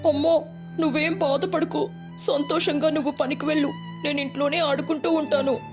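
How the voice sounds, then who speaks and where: A woman's voice: high-pitched, level tone, normal speed, music in the background, pitched above the performer's own voice, natural voice. A woman voicing a small, innocent village boy, caring and anxious, in a moral storybook tale. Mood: caring, reassuring.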